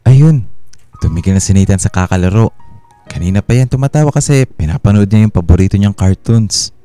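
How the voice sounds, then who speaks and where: A man playing a patient, reassuring husband and father in a radio drama, speaking gently. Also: on the low side, expressive, brisk, faint music behind, lightly acted.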